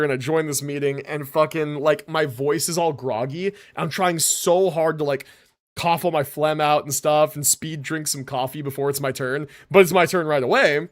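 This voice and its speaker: Young guy streamer yapping to chat, kinda high voice, super bouncy up and down, mushes his words a bit, says right? a lot. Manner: animated, rambling, says "right?" and "like" often. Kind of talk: casual live-stream chat.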